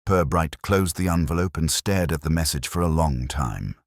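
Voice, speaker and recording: A man's voice: extremely low pitch, normal speed, no room sound, studio quality. A man reading scripted narration for a mystery story.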